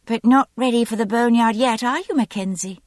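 A woman's voice: fairly high pitch, a little fast, darkish tone, no echo, studio quality, pitched above the performer's own voice, lightly acted. A woman voicing a spoilt, headstrong aristocratic girl of seventeen in an audiobook, imperious, petulant and insistent. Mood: coy, needling.

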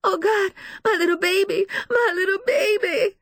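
Audiobook role: distraught mother, extremely high voice, halting, breathless and weepy, close dry recording. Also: expressive, no room sound. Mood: tearful, pleading. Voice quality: tearful, wailing. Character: distraught, frightened, defensive grieving mother. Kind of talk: dramatised audiobook dialogue.

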